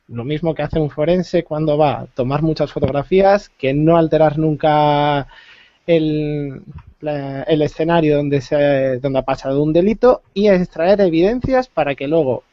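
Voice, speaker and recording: A man on a panel explaining a topic slowly, searching for his words. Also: on the high side, no echo, muffled recording. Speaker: guest panelist. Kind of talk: explaining on a video call panel.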